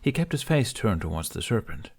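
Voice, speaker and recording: A man's voice: fairly low pitch, very animated, brisk, slightly rough. A man reading a story aloud as scripted audiobook narration.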